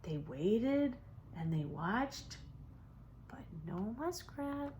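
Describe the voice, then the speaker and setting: A woman reads a children's story as a storyteller for young kids, in a dramatic manner with drawn-out words and hushed moments. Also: on the high side, very animated, very noisy. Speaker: storybook reader for preschoolers. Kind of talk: expressive children's story narration.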